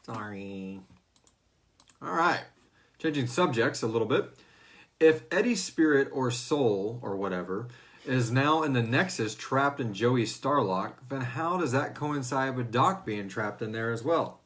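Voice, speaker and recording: A man's voice: mid-range voice, lively intonation, measured pace, a bit bright. Male, American, mid-range voice; informal, joking livestream host fielding fan questions. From Houston, United States. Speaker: host of a fiction series fan Q&A, its writer. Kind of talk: casual livestream Q&A chat.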